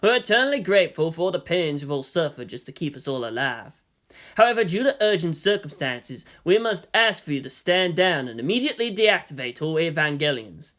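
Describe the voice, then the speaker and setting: A narrator playing a weary male army general, formal and measured, who reasons and pleads firmly. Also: mid-range voice, very animated, a bit bright. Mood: measured, reasoning. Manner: measured, official, placating.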